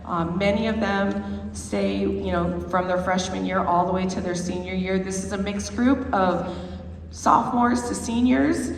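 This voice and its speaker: Slightly hoarse woman band director greeting a concert crowd, chatty, in a reverberant pavilion with faint ambience. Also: medium pitch, fairly even intonation, a little slow, slight background noise. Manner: chatty, with many fillers and restarts. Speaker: high school band director. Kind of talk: concert introduction to an audience. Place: large performance pavilion. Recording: echoey hall, far from the mic.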